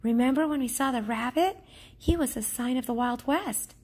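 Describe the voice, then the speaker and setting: An eager young girl adventurer from a children's storybook, read out by a woman. She is curious and full of breathy wonder, with a raised, slightly husky tone. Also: high-pitched, normal speed, voice pushed up.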